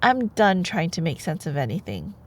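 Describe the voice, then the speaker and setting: A woman playing a sweet, playful, opinionated girlfriend in an intimate bedtime-story ASMR roleplay, expressive in her delivery. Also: fairly low pitch. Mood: exasperated, incredulous. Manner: expressive, exasperated asides between measured reading.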